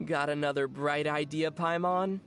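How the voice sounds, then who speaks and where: A woman voicing a calm, sensible young female adventurer in a video game, in a wry, measured and thoughtful way. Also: sits low, very noisy. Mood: wry, teasing.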